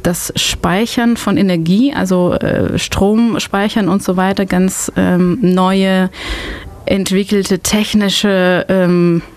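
A woman's voice: medium pitch, fairly even intonation, takes it slowly, a little mellow. A woman hosting a community radio show in German, which is not her first language, talking in a hesitant way with many fillers and restarts. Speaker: radio host. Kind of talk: casual community radio chat. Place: radio studio.

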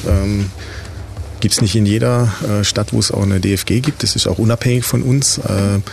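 A man's voice: lowish voice, steady tone, normal speed, creaky, darkish tone, a little hiss or hum. A man representing a club in an interview, answering casually with many hesitations and fillers.

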